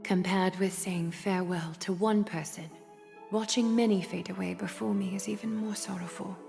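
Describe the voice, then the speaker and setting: A woman voicing a composed, protective young woman in a video game, calm and measured, with breathy creak and quiet melancholy. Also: medium pitch, natural voice. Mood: quiet sorrow. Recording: long reverb, faint music behind.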